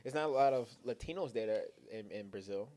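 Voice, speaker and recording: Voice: medium pitch, fairly quick, warm tone, slight background noise. A young podcast co-host in casual banter, joking and teasing with plenty of profanity. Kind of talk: casual chat.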